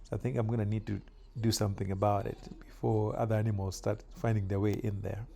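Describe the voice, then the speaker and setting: A middle-aged man from Kenya chatting casually while doing yard work outdoors, with relaxed pauses and fillers. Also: on the low side, creaky. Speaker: vlogger.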